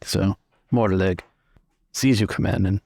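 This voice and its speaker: A man's voice: low-pitched, fairly even intonation, a little slow, creaky, a little mellow, dry recording, clean studio sound. A man speaking as game master and podcast host, narrating for a tabletop game show. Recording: music bed behind the voice. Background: music.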